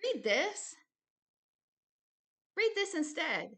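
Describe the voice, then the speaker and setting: A woman with a fairly high, sharp and forceful voice speaks as a religious host. She moves informally between reading aloud and personal commentary. Her delivery is earnest and insistent, with key phrases repeated for emphasis. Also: big pitch swings, very slow.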